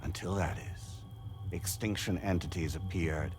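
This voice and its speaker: An actor playing a calm, grandiose non-human AI collective in a game cutscene, lecturing in formal, deliberate oratory. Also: male voice, sits low, lightly acted. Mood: measured lecturing. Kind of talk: video game cutscene monologue. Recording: music under the voice.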